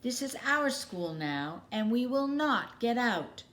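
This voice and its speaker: A woman voicing a brave, calm schoolteacher in a children's story, giving firm, deliberate instructions. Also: fairly high pitch, voice pushed up, heavily acted.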